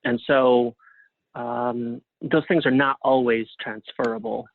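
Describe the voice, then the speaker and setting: A man giving conversational interview answers as a guest, speaking haltingly and thinking aloud as he goes. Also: mid-range voice, steady tone, vocal fry, no echo. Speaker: guest playwright and librettist. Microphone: phone or call line. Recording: thin phone-line sound.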